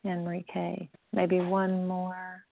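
A woman chairing a meeting, brisk and organized as she calls on people to share. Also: fairly low pitch, completely flat delivery, gravelly, very noisy. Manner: brisk and organized, calling names. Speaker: meeting leader. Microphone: phone call. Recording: tinny dry phone line.

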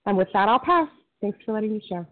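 A woman's voice: mid-range voice, animated, fast. A woman from Florida, speaking with heartfelt emphasis and building lists. Her voice comes through a narrow, phone-like line. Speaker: meeting participant. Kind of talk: sharing at a phone support meeting.

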